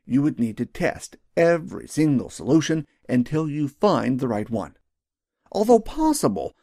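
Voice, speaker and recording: A man's voice: medium pitch, a little mellow, no room sound, clean studio sound. A man narrating a scripted training video as an instructor, explaining things in a formal, methodical, carefully enunciated way.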